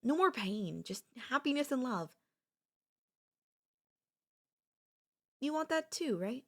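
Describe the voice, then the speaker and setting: A young woman playing an obsessive, possessive kidnapper and childhood friend in an ASMR audio roleplay, speaking in a soft, intimate, pleading manner. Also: sits high, very animated, moderate pace, full character voice.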